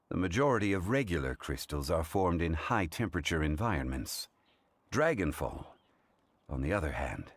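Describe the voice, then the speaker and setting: An actor playing a calm, dignified scholar who explains things in a measured, formal way. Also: male voice, a bass voice, no room sound, exaggerated voice.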